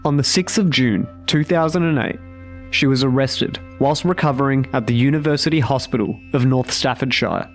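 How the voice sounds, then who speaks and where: A man narrating a scripted true-crime story with measured, deliberate phrasing. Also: medium pitch, soft background music.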